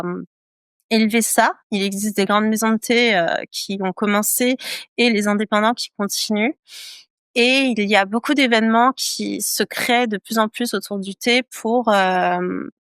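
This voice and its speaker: A woman from France talks through an interview in a smooth, clear voice, pausing often and circling back over her own words. The sound is close and dry, with no room around it. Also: on the high side, fairly bright tone, no echo. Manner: hesitant, many fillers and repeated phrases. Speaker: guest. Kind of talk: casual interview chat.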